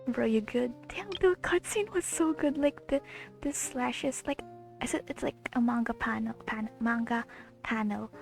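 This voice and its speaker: A young woman giving casual, excitable, slangy reaction commentary while playing a video game. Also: a little high, unhurried, creaky. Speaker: gamer recording her playthrough. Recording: game music under the commentary.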